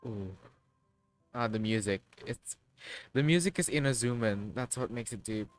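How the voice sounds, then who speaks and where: A man's voice: fairly low pitch, moderate pace, faint music behind. A man streaming, reacting to a video game cutscene with muttered comments and exclamations.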